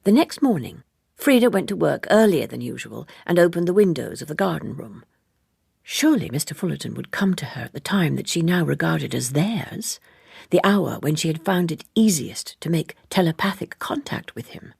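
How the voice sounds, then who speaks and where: A woman narrates a literary audiobook with measured precision. Her voice is low-set and heavily creaky, with a husky grain, recorded very dry. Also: sing-song, a little mellow, no echo.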